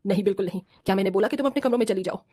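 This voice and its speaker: A woman's voice: medium pitch, racing, warm tone, husky, natural voice. A woman playing a stern, righteous mother-in-law in a cartoon family drama, scolding and lecturing in a firm manner. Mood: unyielding.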